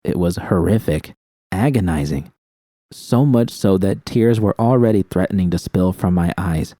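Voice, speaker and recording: A man's voice: low voice, normal speed, warm tone, dry recording, studio quality. A man reading first-person fiction as an audiobook narrator, with dramatic storytelling delivery.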